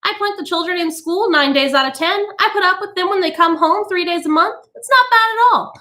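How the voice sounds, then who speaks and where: Woman doing smug, high-voiced mother, Missus Bowles. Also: fairly quick, bright, forceful tone. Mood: callous, amused. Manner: matter-of-fact, self-satisfied, tittering.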